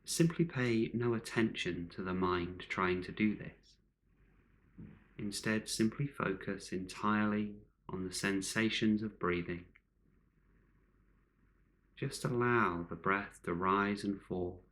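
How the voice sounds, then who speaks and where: A man leading a guided meditation in calm, measured short phrases with long pauses between them. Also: on the low side, a little hiss or hum, poor audio.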